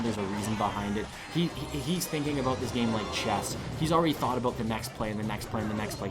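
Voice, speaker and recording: A man commentating live on an esports match, losing his voice, talking in a rambling, excitable way that climbs to loud peaks on big plays. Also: medium pitch. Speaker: esports caster.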